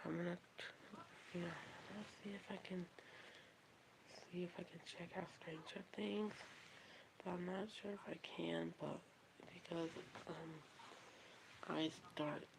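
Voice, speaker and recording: Girl rambling on a livestream, kinda low voice, real slow, keeps restarting, noisy background. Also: vocal fry. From Texas, USA. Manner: halting, repeats and restarts phrases often. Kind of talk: casual livestream chat.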